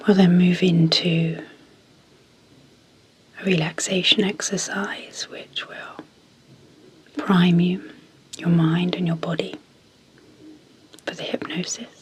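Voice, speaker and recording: A woman speaking softly as an ASMR host, guiding sleep hypnosis in a hushed, gentle, deliberate way with long pauses. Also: on the low side, little pitch movement, slight background noise. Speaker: ASMR host and clinical psychologist.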